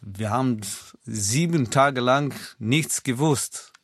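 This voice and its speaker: A man's voice: medium pitch, slow, no echo, studio quality. Ukrainian-accented older man recounting his life. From Kyiv, Ukraine. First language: not German. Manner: explanatory, non-native grammar. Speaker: podcast guest. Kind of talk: personal recollection in an interview. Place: studio.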